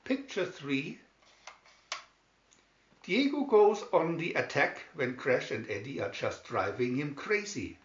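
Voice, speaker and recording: Slow, higher-voiced man reading a storybook. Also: sing-song. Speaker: reader of picture-story booklets. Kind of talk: slow scripted storybook narration. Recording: dull lo-fi, roomy echo.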